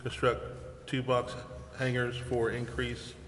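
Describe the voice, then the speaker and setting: A man's voice: mid-range voice, completely flat delivery, slow pace, fairly bright tone. A man chairing a council meeting, formally reading out agenda items in a procedural, official way. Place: indoor council chamber. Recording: echoey council chamber, faint noise.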